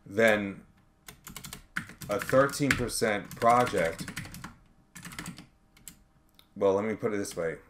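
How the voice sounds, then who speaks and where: Low-voiced man teaching accounting. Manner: measured, reasoning aloud through calculations. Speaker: accounting instructor. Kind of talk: step-by-step tutorial explanation. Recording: background noise with keyboard typing clicks.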